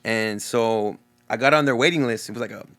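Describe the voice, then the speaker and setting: A man's voice: mid-range voice, brisk, a bit bright. A young man from Texas with a Texan accent who stutters openly, talking casually and earnestly as a guest DJ in an interview. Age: young adult.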